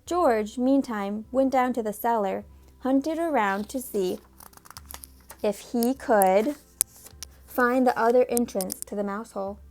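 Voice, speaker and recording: A woman reading a children's book aloud in a measured way, stopping now and then to talk about the pictures. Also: fairly high pitch, darkish tone. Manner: measured, pausing to comment on the pictures. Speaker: storybook reader.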